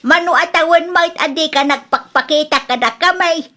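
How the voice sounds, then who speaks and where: A proud, sharp-tongued mother-in-law scolds in an Ilocano accent, as a woman acts her out for a radio drama. Her voice sits high and rings bright and edgy. She talks with an indignant, scolding bite. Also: normal speed. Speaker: mother-in-law in a family quarrel.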